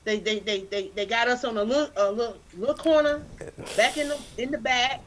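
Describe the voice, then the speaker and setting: A woman with a South Carolina Southern accent, a guest in a casual interview, talking in an animated, preacherly way and often repeating words as she builds a point. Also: on the high side, a little fast, a little hiss or hum. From Anderson, South Carolina. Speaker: guest, literacy campaign founder.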